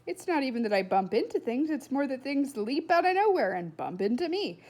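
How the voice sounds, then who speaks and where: Woman acting pedantic, wordy boy, lively pitch. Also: a little fast. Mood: philosophical, emphatic. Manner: formal, pedantic, verbose. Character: temporarily blind, wordy, unflappable, philosophizing boy.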